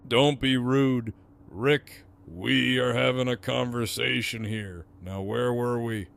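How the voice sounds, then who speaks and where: An actor playing a menacing, foul-mouthed gang leader in an audio drama, with a taunting, theatrical, drawn-out delivery. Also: male voice, medium pitch, steady tone, gravelly, fairly bright tone. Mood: mocking menace.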